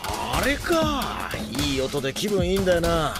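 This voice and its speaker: An actor playing a cocky young male boxer commenting from the sidelines in rough, slangy speech in an anime. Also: on the high side, huge pitch swings, a little slow, full character voice. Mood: sardonic. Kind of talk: anime dub acting. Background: background music.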